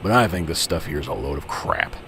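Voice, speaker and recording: Man acting a deep-voiced, cynical veteran cop. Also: huge pitch swings, a little fast, exaggerated voice. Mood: cynical, pointed. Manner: grumbling, sarcastic, dismissive. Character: cynical, weary veteran small-town police officer, male. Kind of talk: amateur radio drama acting. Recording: clearly noisy, vehicle-like background ambience.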